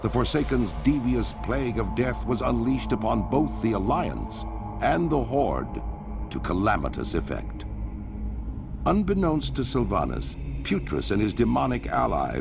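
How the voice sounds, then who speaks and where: A man's voice: medium pitch, creaky. A man playing a grave, commanding storyteller, narrating a fantasy game cutscene in a portentous, theatrical way. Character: solemn, commanding male storyteller of an epic fantasy war saga.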